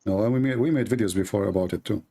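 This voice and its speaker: A gravelly man hosts a call-in show. He rambles on, filling the gaps with lots of uh and um. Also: lowish voice, very fast, dark tone. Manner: rambling, with many fillers and restarts. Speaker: host of a call-in debate show. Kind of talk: casual call-in talk.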